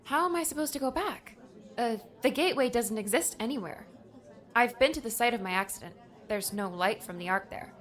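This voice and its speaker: A woman's voice: on the high side, fairly quick, lightly acted. An actress playing a wary, bewildered young woman adventurer in a dramatized audiobook. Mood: confused, disbelieving. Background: soft background music.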